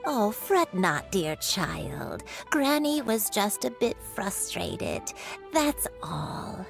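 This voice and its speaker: A woman voicing a frail, gentle old grandmother who talks slowly and hesitantly, apologetic and sorrowful, in a game cutscene. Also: medium pitch, wildly swooping pitch, gravelly, music in the background, heavily acted. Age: older.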